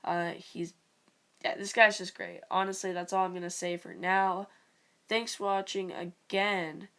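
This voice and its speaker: A woman with a raspy voice and a stuffy nose, giving rambling, casual sports commentary for her video channel. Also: on the low side, flat delivery, unhurried, a bit bright. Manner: rambling, full of "like" and "you know". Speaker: video creator.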